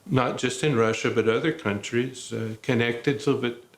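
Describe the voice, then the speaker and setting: A man's voice: medium pitch, fairly even intonation, normal speed. Plainspoken man in an echoey room. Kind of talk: documentary interview. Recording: roomy echo.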